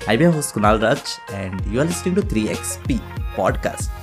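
A man hosting a podcast, welcoming his guest enthusiastically and filling pauses with short acknowledgements. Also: lowish voice, animated, over loud music. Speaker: podcast host. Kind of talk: podcast interview.

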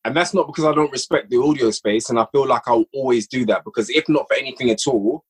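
A man's voice: mid-range voice, quick pace, slightly rough. A man, a guest writer and producer of audio drama, chatting at length on a podcast and explaining his work with frequent restarts. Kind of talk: casual podcast conversation.

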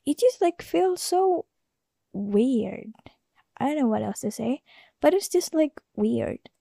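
A woman's voice: sits high, big pitch swings, takes it slowly, gravelly, warm tone, no room sound, clean studio sound. An Indonesian woman, a native Indonesian speaker with an Indonesian accent, hosting a casual solo podcast in a rambling, hesitant way full of fillers. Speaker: podcast host.

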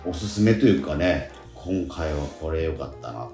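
A man's voice: extremely low pitch, animated, normal speed. A man hosting a radio show, chatting casually in an echoey room with guitar music behind him. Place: indoor room at a theatre. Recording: roomy, echoey room sound.

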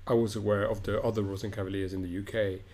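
A man's voice: on the low side, level tone, fast talker, darkish tone, slight background noise. A middle-aged man from Morocco, an opera director, answers interview questions thoughtfully, with frequent restarts and fillers.

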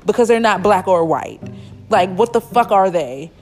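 A woman's voice: medium pitch, expressive, normal speed, a little mellow, faint music behind. A woman in her twenties hosting a solo podcast, ranting bluntly and emphatically with a lot of swearing. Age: 20s. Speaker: podcast host. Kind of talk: casual solo podcast rant.

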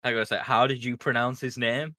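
A man's voice: medium pitch, level tone, a little fast, bright, forceful tone. A young man co-hosting a podcast, teasing and joking casually with his friends. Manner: teasing, jokey. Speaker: podcast co-host. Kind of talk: casual banter among friends.

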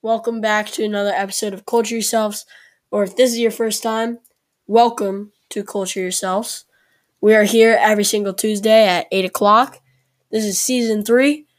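A woman hosting a casual podcast, talking with a sore throat and a congested voice, chatty and halting with frequent fillers. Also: medium pitch, steady tone. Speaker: podcast host.

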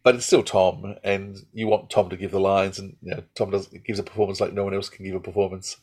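A man with a slightly low voice talks as a podcast host in casual review mode. He is enthusiastic and rambling, often interrupting himself to start a thought again. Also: level tone, brisk, darkish tone, slightly rough.

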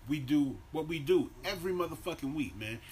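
Slangy American man, slightly high-pitched. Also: animated, fairly quick, darkish tone. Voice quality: deep-voiced by own claim. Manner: slangy, swearing, conversational. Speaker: podcast co-host. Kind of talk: casual profane banter and animated storytelling. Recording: faint background noise.

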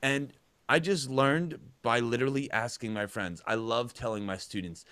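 A man's voice: mid-range voice, moderate pace, a bit bright, smooth, dry recording. A man co-hosting a music podcast, a music teacher chatting in a loose, rambling, jokey way. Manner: rambling, jokey, plays with accents. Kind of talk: casual chat.

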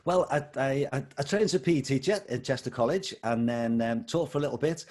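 A man's voice: mid-range voice, a little fast, darkish tone. An older man with a Liverpool accent, chatting as an interview guest about his life and work. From England. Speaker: guest, schools coordinator. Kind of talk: casual interview chat.

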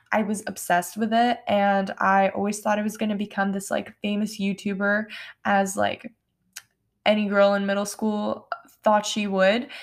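A woman in her twenties from New York, hosting her own podcast late at night in a chatty, rambling way with many "like" fillers and no regional accent. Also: mid-range voice. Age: 20s. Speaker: podcast host. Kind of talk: casual solo podcast chat. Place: home at night.

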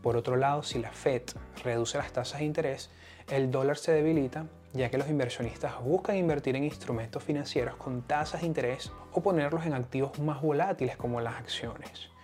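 A man's voice: medium pitch, a little fast, darkish tone. Venezuelan man hosting a podcast over music. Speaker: podcast host. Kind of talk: explanatory economics podcast monologue, partly scripted.

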